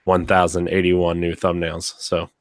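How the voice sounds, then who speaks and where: A man hosting a casual podcast, slightly raspy from being sick, rambling with many fillers and restarts. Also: deep voice, monotone, unhurried, dry recording, studio quality. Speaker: podcast host. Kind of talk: casual chat.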